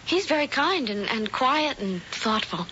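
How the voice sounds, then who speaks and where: A woman's voice: high voice, very animated, unhurried, pushed and bright, a little hiss or hum, heavily acted. An actress playing a naive, chatty young woman in a 1970s radio drama. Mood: trusting. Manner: trusting, chatty. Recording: dull old radio broadcast.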